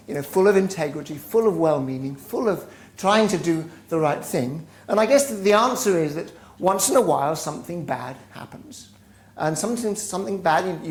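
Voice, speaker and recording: Animated professor in an echoey hall. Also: male voice, a little high. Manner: animated, self-correcting lecturer. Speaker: business school professor giving a keynote. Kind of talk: conference lecture. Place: large lecture hall. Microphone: handheld or lectern mic, muted at first. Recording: echoey hall, faint ambience.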